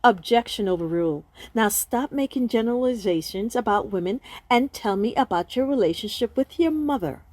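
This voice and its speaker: A woman's voice: on the high side, lively intonation, darkish tone, a little hiss or hum, lightly acted. A woman playing a firm, no-nonsense doctor counselling Santa in a comic radio play. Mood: stern, insistent. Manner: assertive, deliberate, probing.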